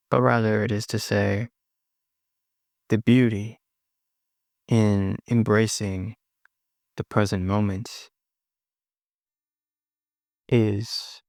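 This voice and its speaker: A man leading a guided meditation in a soothing manner, pausing often between short phrases. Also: on the low side, gravelly, darkish tone, no echo, clean studio sound. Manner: slow, pausing, soothing delivery.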